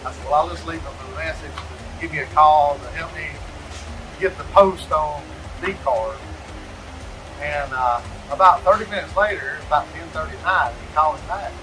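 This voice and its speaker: A man's voice: fairly high pitch, moderate pace, bright, forceful tone, slight background noise, low-quality recording. A man, giving plainspoken interview answers as a customer, seated in a large indoor workshop and often repeating words as he searches for them. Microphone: camera at medium distance, seated. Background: background music.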